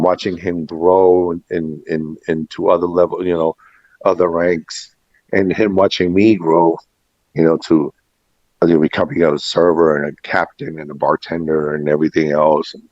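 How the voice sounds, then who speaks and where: Deep-voiced middle-aged man in an echoey room. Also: a little mellow. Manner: reflective, anecdotal, quoting past conversations. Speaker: restaurant and catering veteran being interviewed. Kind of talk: personal storytelling in a casual interview. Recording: muffled, echoey room recording.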